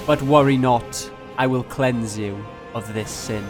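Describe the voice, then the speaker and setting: A man's voice: medium pitch, measured pace, loud music behind the voice. A man narrating a video game challenge run as scripted commentary, playful and mock-grandiose with jokey asides.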